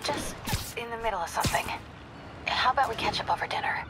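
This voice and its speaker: Actress as a hushed young investigator. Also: female voice, on the high side, brisk, loud music behind the voice, natural voice, low-quality recording. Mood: hushed, hurried. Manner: hushed, clipped. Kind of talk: naturalistic video game voice acting.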